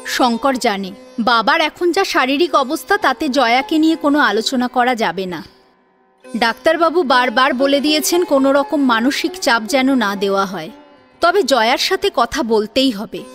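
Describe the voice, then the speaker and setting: Female narrator for a family drama serial: high voice, very lively intonation, expressive scripted delivery. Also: moderate pace. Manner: expressive storytelling with rhetorical questions. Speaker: narrator of an audio story serial. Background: soft sitar and plucked string music.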